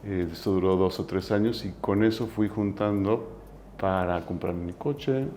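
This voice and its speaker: Low-voiced Mexican man telling his story. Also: measured pace, a little hiss or hum. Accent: Mexican Spanish. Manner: relaxed, self-deprecating, many restarts. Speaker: entrepreneur guest. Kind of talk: casual interview storytelling.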